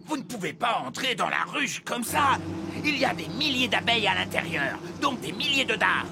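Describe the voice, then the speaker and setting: A man voicing a helpful, excitable beekeeper in a French cartoon, explaining things emphatically. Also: high-pitched, moderate pace, gravelly, music in the background, heavily acted. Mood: warning. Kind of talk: French cartoon dub acting.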